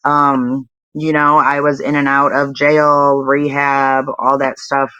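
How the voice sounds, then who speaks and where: A woman's voice: very deep voice, level tone, slow pace, pushed and bright, clear, resonant tone, dry recording, muffled recording. A woman around forty, a nonprofit founder and interview guest, talking in a rambling way full of fillers. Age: 40s. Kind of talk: casual interview chat.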